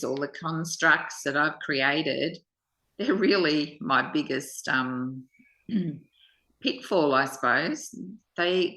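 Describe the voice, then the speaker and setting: A woman taking part in a study session, sharing personal reflections in a halting, introspective way. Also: low-pitched, sharp tone, low-quality recording. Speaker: study partner.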